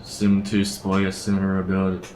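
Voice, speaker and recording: A man's voice: deep voice, monotone, fast talker, a little mellow. A man streaming a game, casually reacting and reading lines aloud. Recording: roomy echo, faint background noise.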